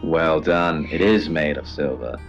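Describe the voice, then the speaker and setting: Through a dull radio sound with music behind, a male late-night host with a very low voice. He is an eccentric shopkeeper of curios, deadpan and macabre, halting between phrases. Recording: band-limited radio sound over music.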